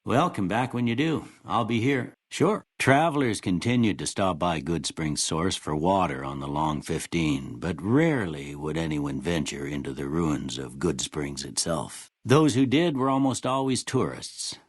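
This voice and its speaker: Husky old Western country doctor. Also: male voice, low-pitched, dry recording, studio quality, full character voice. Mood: plain, easygoing, then somber storytelling. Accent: American Western or Southern drawl. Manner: folksy, unhurried, drawling. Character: kindly, folksy old male frontier town doctor. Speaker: video game voice actor. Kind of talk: scripted game dialogue.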